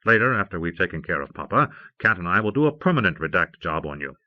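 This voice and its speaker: A man's voice: deep voice, big pitch swings, fast talker, no room sound. Man playing an impatient commanding magnate, muffled tape. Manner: forceful, exasperated, articulate. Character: commanding, impatient magnate browbeating his younger-looking brother. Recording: muffled old cassette audiobook transfer.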